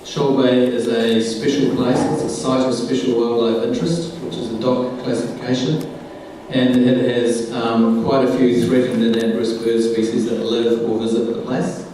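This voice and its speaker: A man introducing a guest speaker at a club meeting, talking in a measured, slow way with pauses. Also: mid-range voice, level tone, mellow, slight background noise. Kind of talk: formal introduction. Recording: echoey hall, voice from far off.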